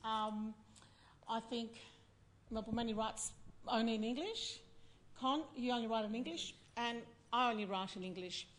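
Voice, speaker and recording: A woman's voice: fairly high pitch, unhurried. Curious woman chairing a poetry panel. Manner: warm, curious questioning with many fillers. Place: festival venue before an audience.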